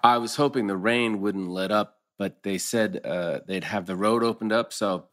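A man playing an easygoing, loyal, gently wry middle-aged husband at a TV drama table read. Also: lowish voice, moderate pace, lightly acted. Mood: earnest, reassuring.

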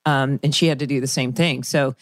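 A woman's voice: extremely low pitch, steady tone, a little fast, no echo, clean studio sound. A woman co-hosting a podcast, talking in a chatty, meandering way and asking long questions. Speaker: podcast co-host, actress. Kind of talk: casual podcast chat.

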